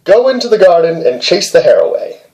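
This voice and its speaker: A man's voice: high voice, sing-song, fairly quick, darkish tone, pitched above the performer's own voice, poor audio. A man voicing a bossy mother from a storybook, giving commands to her daughter. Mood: commanding.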